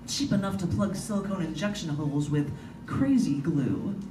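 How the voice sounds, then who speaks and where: Female author at a live bookstore reading, deliberate pacing with pauses, distant mic, clearly noisy background. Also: on the low side, roomy sound. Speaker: writer reading own prose and poems. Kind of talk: live literary reading of prose and poetry. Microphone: camera mic at a distance.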